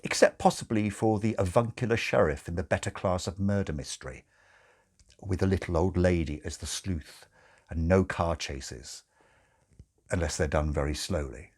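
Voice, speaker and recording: A man's voice: deep voice, normal speed, a little mellow, breathy edge. A man narrating a comic audiobook in a wry, theatrical storytelling manner.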